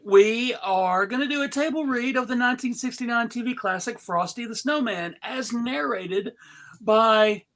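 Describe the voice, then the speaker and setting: A man hosting a live table read, introducing people in a casual, joking, halting showman's manner. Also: very high voice, fairly bright tone.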